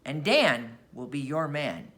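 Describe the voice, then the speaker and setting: A woman voicing a solemn, mysterious male ship's cook in a storybook, cryptic and measured as he prophesies. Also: low voice, very animated, fairly bright tone, voice pushed down, exaggerated voice. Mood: prophetic. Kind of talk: storybook character voice.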